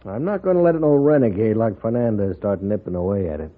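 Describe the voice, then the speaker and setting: An actor playing a cordial but stubborn wealthy rancher with a husky voice and American accent, folksy and emphatic, in 1950s radio style. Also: male voice, on the low side, animated, fairly quick. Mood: aggrieved, insistent. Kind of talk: 1950s Western radio acting. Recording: narrow-band 1950s radio recording.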